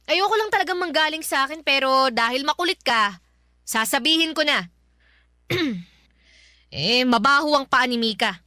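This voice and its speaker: A woman's voice: high-pitched, expressive, moderate pace, long pauses, bright, forceful tone, no room sound, studio quality. An actress playing an irritable, exasperated young woman in a radio drama, speaking emphatically. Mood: gossipy, emphatic. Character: irritable young woman hiding jealousy, fibbing to her friend. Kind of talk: Tagalog radio drama acting.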